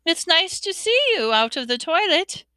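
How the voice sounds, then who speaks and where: A woman's voice: a little high, big pitch swings, measured pace, bright, forceful tone, no room sound, full character voice. A woman voicing a clever, anxious, polite schoolgirl in a storybook, earnest and placating. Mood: falsely bright.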